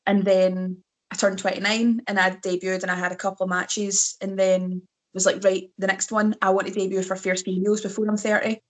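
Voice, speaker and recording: A woman's voice: medium pitch, level tone, a little fast. A Scottish woman in her thirties, a wrestler chatting as a podcast guest, telling rambling self-mocking stories. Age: 30s. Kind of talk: casual podcast chat.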